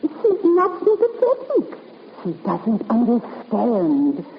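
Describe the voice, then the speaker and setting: A woman's voice: fairly high pitch, wildly swooping pitch, slow pace, heavily acted. An actress playing a fretful, genteel elderly lady companion in a radio drama, hesitant and fluttery in her speech. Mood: anxious, fluttery. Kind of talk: 1950s radio drama acting. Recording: muffled, noisy 1950s radio recording, reverberant.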